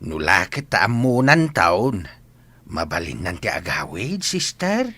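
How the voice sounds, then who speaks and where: A man's voice: low-pitched, very animated, a little slow, bright, forceful tone, exaggerated voice. An actor playing an elderly, worried, deferential grandfather in a Filipino-accented radio drama, speaking plaintively. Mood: polite, worried.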